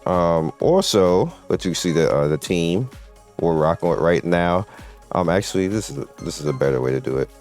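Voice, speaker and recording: A man's voice: low voice, lively intonation, moderate pace, darkish tone. A man hosting a gaming channel, giving casual, off-the-cuff commentary in a blunt, opinionated way over gameplay. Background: game music.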